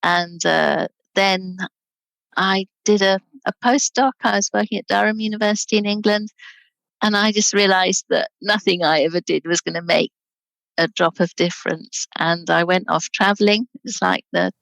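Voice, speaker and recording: Sixties woman, scottish born, gravelly voice, dry close zoom sound, easygoing chatty guest. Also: medium pitch, normal speed, dry recording, low-quality recording. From Scotland. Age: 60s. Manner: relaxed, chatty, laughs easily. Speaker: guest, charity director. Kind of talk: casual interview chat. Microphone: video call.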